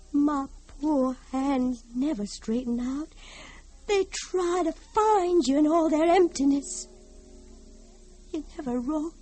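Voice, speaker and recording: A woman's voice: high-pitched, darkish tone. An actress playing a haunted, fragile older mother in an old-time radio drama, theatrical, pleading and unhinged. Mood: mournful. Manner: theatrical, wheedling, drawn-out pleading.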